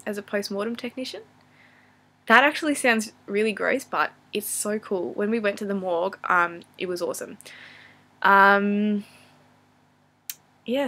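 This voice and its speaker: A woman's voice: on the high side, a bit bright. A young adult woman with an Australian accent, chatting casually and unscripted as a vlogger, in a rambling, self-deprecating, apologetic way. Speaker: vlogger answering viewer questions. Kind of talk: casual unscripted chat to camera.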